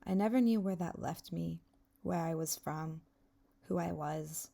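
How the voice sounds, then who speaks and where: Mellow, creaky-voiced young woman narrating. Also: lowish voice, unhurried. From England until age ten, then the US. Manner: reflective, wistful. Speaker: vlogger narrating her own video. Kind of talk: scripted reflective voice-over narration.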